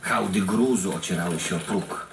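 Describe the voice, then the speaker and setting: Audio-play monologue: wry, embittered provincial man, animated delivery, reverberant space, music underneath. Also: low voice, normal speed, gravelly. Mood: wistful, ironic. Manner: sardonic storytelling. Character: wry, bitter man from a bleak provincial town. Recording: roomy produced audio drama with music.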